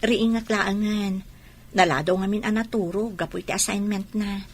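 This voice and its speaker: A woman's voice: mid-range voice, steady tone, moderate pace, lightly acted. A woman playing a soldier's caring wife in a radio drama, fussing over the household.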